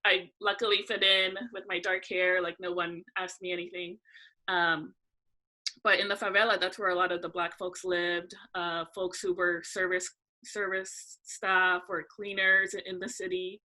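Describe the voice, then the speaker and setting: Young woman guest from California, bright tone, lowish pitch, unhurried and thoughtful. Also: low-quality recording. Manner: relaxed, thoughtful, frequent "um" pauses. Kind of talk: casual interview conversation.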